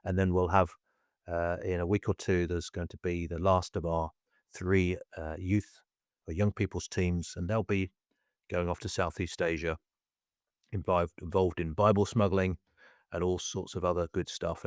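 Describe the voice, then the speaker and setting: A man's voice: sits low, steady tone, normal speed, a little mellow, no room sound, clean studio sound. A man co-hosting a casual podcast, talking with frequent fillers and restarts. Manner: hesitant, many fillers and restarts. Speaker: podcast co-host.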